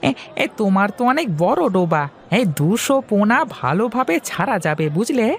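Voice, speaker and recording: A man's voice: sits high, sing-song, moderate pace, a faint crowd, voice pushed up. A man voicing a friendly, persuasive village fish seller in a children's cartoon, with sing-song, coaxing patter. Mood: eager, persuasive. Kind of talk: Bengali children's cartoon dubbing.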